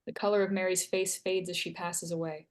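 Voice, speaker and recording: A woman's voice: fairly low pitch, level tone, a little fast. A woman reading scene headings and stage directions aloud as narrator at a screenplay table read.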